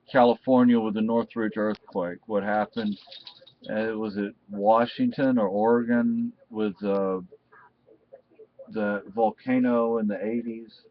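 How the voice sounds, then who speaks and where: Middle aged american guy rambling casually, real slow, kinda muffled audio. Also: lowish voice, steady tone. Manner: rambling, halting with repeated restarts. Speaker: video reviewer. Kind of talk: casual unscripted talk.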